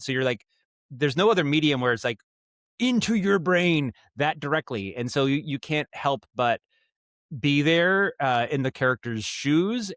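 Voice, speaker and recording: A man speaking as a podcast guest in casual interview talk, explaining his craft in a halting, thoughtful way with frequent self-corrections. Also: mid-range voice, fairly bright tone, no room sound, clean studio sound. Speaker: guest, audio drama writer and director.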